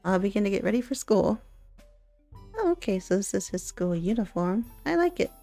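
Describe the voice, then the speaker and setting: A woman reading a visual novel aloud in an expressive way, with chatty asides of her own. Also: mid-range voice, moderate pace, faint music behind. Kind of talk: playthrough narration with casual commentary.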